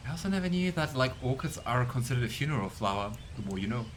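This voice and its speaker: Chatty guy doing a let's play, thinking out loud, normal pitch. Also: lively intonation, fast, slight background noise. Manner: chatty, curious, thinks aloud. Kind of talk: casual gameplay commentary.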